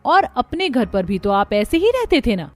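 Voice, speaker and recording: Woman acting a high-voiced, spoiled young daughter. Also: very animated, moderate pace. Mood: scornful. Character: spoiled, snappy young married daughter, rude to mother. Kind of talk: Hindi cartoon story dialogue.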